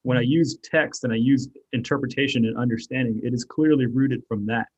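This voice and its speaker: A man's voice: medium pitch, steady tone, a little fast, darkish tone, husky, lo-fi sound. Rambling man with many fillers. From Germany. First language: German. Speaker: author and artist guest. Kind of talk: casual interview talk.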